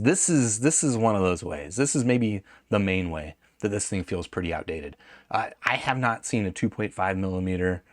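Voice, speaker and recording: A man with a fairly low voice talks through a product review in a casual, unscripted way. He thinks aloud and rephrases as he goes, conversational throughout. The sound is dry, with little room around the voice. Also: dry recording.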